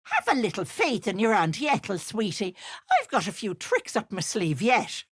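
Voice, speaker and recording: A woman's voice: medium pitch, huge pitch swings, moderate pace, breathy edge. A woman voicing a wicked old hag who poses as a sweet, folksy auntie in a video game, with cloying endearments and theatrical menace. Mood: folksy, sly. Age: older. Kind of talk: video game character acting.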